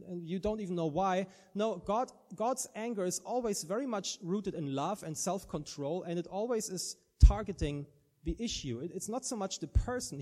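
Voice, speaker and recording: A man's voice: high voice, dark tone. A man from Germany with a German accent, preaching an earnest sermon and often restarting his words.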